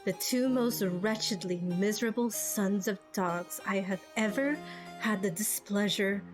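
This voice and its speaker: A woman voicing a composed, blunt adult woman in a game, measured, formal and cutting. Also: mid-range voice, steady tone, natural voice. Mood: coolly scornful. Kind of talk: amateur game character voice acting. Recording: game music behind.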